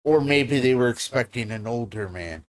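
A man sitting on a living room couch and co-hosting a livestream into a desk mic on a stand. His humor is dry and self-deprecating, and he often restarts his sentences. He speaks at an average male pitch. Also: lively intonation, moderate pace. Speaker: co-host. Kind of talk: casual livestream chat.

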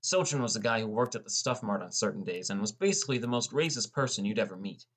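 A man's voice: fairly low pitch, animated, quick pace, a bit bright. A man playing a sullen, defensive teenage boy who narrates his own story in a scripted audiobook. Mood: narrating.

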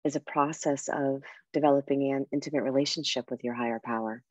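A woman guiding a meditation and breathing exercise in a soft, unhurried, soothing way with pauses. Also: very deep voice, steady tone, dry recording, highs cut off. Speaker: meditation guide and coach. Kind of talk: guided meditation with breathing instruction.

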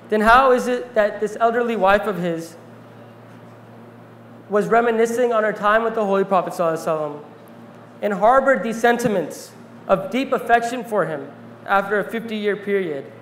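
A fairly high-voiced young man speaks earnestly on stage, reading from notes and pausing often with small fillers. His voice rings through a large hall with a long reverb tail and a faint hum of ambience. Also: a bit bright. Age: young adult. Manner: earnest, reflective, pauses with fillers. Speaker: speaker and interviewer.

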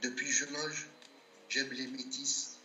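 A man reciting love poetry as a poet, in measured, declamatory short phrases. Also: medium pitch, little pitch movement, bright, forceful tone, roomy sound, poor audio.

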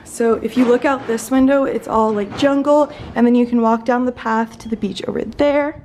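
Young woman, casual vlogger, bubbly and chatty, fairly high voice, phone mic at arm's length, faint background noise. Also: steady tone, moderate pace, a little mellow. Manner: enthusiastic, chatty, frequent "like" fillers. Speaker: travel vlogger. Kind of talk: casual house tour vlog. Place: holiday villa, indoors and on open terraces. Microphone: phone held at arm's length.

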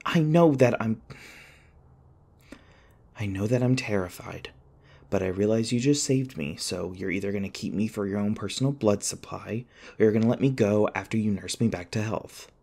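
A man playing an anxious, sarcastic young college student in an audio roleplay, rambling and halting in a wry, flustered way. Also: lowish voice, gravelly, darkish tone, slightly rough. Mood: freaked out. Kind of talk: audio roleplay acting, one-sided dialogue.

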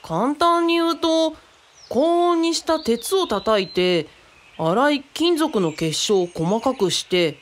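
A woman's voice: high-pitched, animated, slow pace. A woman playing an easygoing, friendly young teacher in a radio drama, explaining things in casual, boyish speech. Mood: explanatory. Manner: casual explaining, bits of Kyushu dialect.

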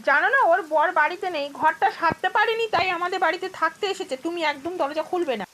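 Woman as a shrill, scolding cartoon crow wife. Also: quick pace. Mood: stern. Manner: scolding, sharp. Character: bossy, unwelcoming crow wife. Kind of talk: cartoon voice acting.

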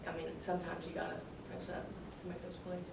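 Young American woman, low flat creaky voice. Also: fast talker. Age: young adult. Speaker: college basketball player. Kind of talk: casual post-game interview answers. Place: indoor press room at a table. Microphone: distant camera. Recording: echoey, distant mic, clear rumbling noise.

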